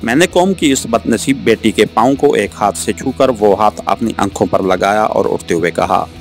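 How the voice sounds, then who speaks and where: A man reading a novel aloud as an audiobook narrator, in an earnest, expressive storytelling style. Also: fairly low pitch. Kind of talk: dramatic scripted novel narration. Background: background music.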